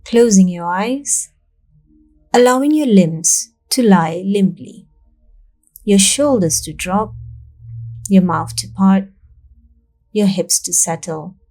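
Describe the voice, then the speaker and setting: A woman narrating a guided meditation in a calm, soothing, measured way, leaving long pauses between instructions. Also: mid-range voice, faint music behind.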